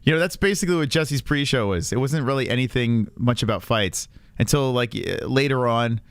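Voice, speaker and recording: A man's voice: medium pitch, a little fast, smooth, no room sound. A man in his forties, co-hosting a casual podcast chat, rambling and laughing with mild profanity. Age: 40s. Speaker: podcast co-host.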